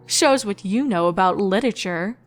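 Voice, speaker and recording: A woman's voice: medium pitch, animated, normal speed, soft background music, close to an everyday voice. Woman playing a playful, teasing pony mare.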